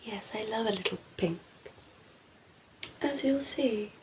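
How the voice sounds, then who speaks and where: A woman voicing a ditzy, flirty female vampire in an ASMR roleplay, speaking softly and breathily, often in a whisper, with a coy, teasing manner. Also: fairly high pitch, noisy background. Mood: chatty, whimsical. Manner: slow, teasing, coy, giggly.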